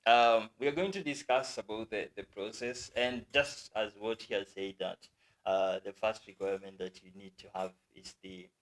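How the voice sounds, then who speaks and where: A Kenyan man hosting a casual chat with a guest, speaking in a relaxed, halting way with restarts. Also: fairly low pitch, poor audio. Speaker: host.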